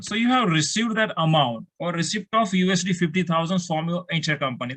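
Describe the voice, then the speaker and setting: A man's voice: on the high side, fairly bright tone, breathy edge, rough recording. Indian guy explaining stuff step by step like a teacher, asks a question then answers it, repeats himself. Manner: didactic, poses questions then answers them, repetitive. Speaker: accounting instructor.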